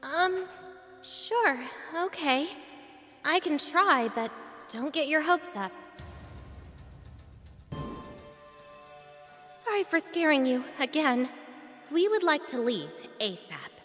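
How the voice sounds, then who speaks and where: An earnest, feisty young heroine from a video game. Her high voice moves expressively up and down. It carries a long reverb over music. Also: sing-song, takes it slowly, a bit bright, exaggerated voice. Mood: gentle. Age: young adult. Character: earnest, feisty young woman mechanic, secretly a princess. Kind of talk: video game cutscene acting.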